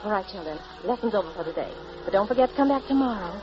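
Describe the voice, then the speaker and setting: An actress playing a tender, wistful young American wife, sentimental and earnest, in 1940s radio drama. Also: female voice, mid-range voice, animated, rapid-fire, loud music behind the voice, close to an everyday voice. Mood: warm, gentle. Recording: muffled, noisy old radio broadcast.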